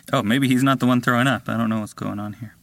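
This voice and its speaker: A man's voice: mid-range voice, fairly even intonation, brisk, gravelly, fairly bright tone, slight background noise. A male voice actor muttering to himself and chuckling between recording takes. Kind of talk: recording-session outtakes.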